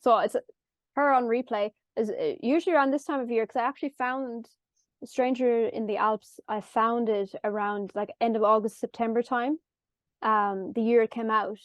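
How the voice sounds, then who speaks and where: A woman from Galway with an Irish accent and a nasal, high-pitched voice. She chats casually as a musician guest, telling stories and acting out her own lines. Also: steady tone, moderate pace, no room sound, lo-fi sound. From Ireland. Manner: chatty storytelling, acts out her own past lines. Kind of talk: casual chat.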